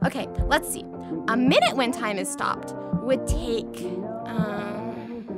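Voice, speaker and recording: A sassy, high-voiced alien fashionista. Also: female voice, slow pace, over loud music. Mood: puzzled, exasperated. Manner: sassy, petulant, exclamatory. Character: vain, flippant young female shapeshifting alien fashionista. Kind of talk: audio theatre acting.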